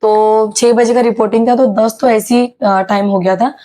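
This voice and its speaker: A young woman from Maharashtra with a slightly sore, hoarse throat, telling a casual vlog story with many hesitations. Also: a little high, level tone, normal speed, warm tone. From Maharashtra, India. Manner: chatty, many hesitations and English words mixed in. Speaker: YouTuber sharing her exam experience.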